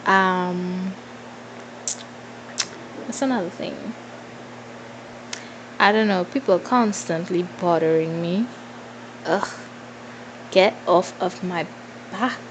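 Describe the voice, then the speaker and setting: A woman's voice: fairly low pitch, slow pace, pauses often, a little hiss or hum, poor audio. A woman in her twenties with a Trinidadian accent chats informally and playfully to followers in a casual Q&A, thinking aloud as she goes. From Trinidad and Tobago. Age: 20s. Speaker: vlogger.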